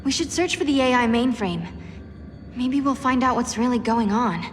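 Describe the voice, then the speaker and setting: A woman's voice: on the high side, animated, normal speed, close to an everyday voice. A woman playing an earnest, hopeful young heroine in a video game. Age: young adult. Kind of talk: video game cutscene acting. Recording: reverberant game audio with music.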